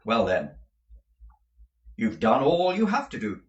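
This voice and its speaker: A man voicing a stern, blustering middle-aged male lawyer who talks in a booming, domineering way. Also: huge pitch swings, slow pace, lo-fi sound. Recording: roomy audio from a distant mic.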